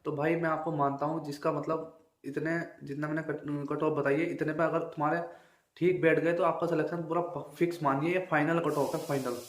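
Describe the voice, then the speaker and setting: A man's voice: on the high side, level tone, moderate pace. Young man, echoey room. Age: young adult. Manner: repetitive, emphatic, with frequent check-in tags. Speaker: exam-prep video creator and exam candidate. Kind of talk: informal exam analysis talk to viewers.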